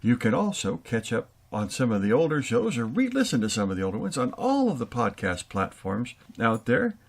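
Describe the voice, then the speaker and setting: A man's voice: medium pitch, wildly swooping pitch, a little fast. A man hosting and announcing for a radio theater show. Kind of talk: announcing credits and thanks.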